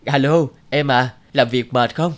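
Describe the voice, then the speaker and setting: A man's voice: mid-range voice, fairly even intonation, measured pace, lightly acted. A man voicing a scheming, lazy young office worker in a cartoon, with a whiny, theatrical manner. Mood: sweet wheedling. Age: young adult. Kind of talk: cartoon voice acting.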